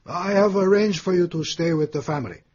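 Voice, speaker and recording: An actor playing a dutiful police superintendent in a radio drama, husky, precise and sober. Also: male voice, sits high, fairly quick, a little mellow. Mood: matter-of-fact. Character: Japanese police superintendent, dutiful, matter-of-fact. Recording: dull, muffled radio drama recording.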